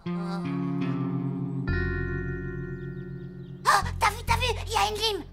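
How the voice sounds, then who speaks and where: Timid, squeaky cartoon boy. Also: fairly even intonation, fast talker, creaky, over loud music. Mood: frightened. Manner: hesitant, questioning, easily alarmed. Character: timid, gullible young boy, nervous sidekick. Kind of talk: animated cartoon dubbing.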